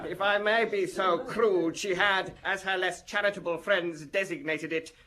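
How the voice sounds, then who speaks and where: A showy defence lawyer in a radio play, his voice high and bright. He orates pompously, with florid phrasing and sly insinuation. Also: steady tone, normal speed. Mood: insinuating. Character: flamboyant, insinuating male French defence lawyer addressing press. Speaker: actor in radio drama. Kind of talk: theatrical courtroom-style oratory in radio drama.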